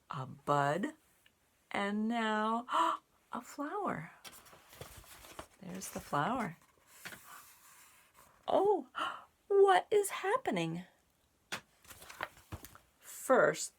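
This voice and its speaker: A woman reading a children's picture book aloud in an expressive, deliberate way for young listeners, with little asides. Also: medium pitch, slight background noise. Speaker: storybook reader and sign language teacher.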